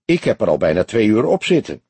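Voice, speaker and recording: A man's voice: lowish voice, big pitch swings, fast talker, darkish tone, breathy edge, dry recording, full character voice. A man voicing a young detective in an audiobook, an earnest, grumbling junior colleague. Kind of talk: audiobook character voice.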